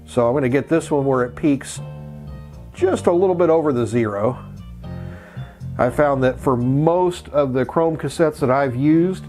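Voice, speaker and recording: A middle-aged man with a medium-pitched voice presents a casual hands-on tutorial. He explains each step in plain words, as a channel host would. Music plays faintly behind him. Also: measured pace, warm tone.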